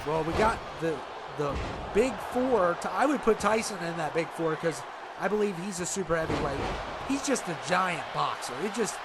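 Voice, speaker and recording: A man casually commentating on a video game match, chatty and reactive, a little congested from allergies. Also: on the high side. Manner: relaxed, chatty, reacts to the action. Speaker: gameplay commentator. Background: loud game crowd and noise.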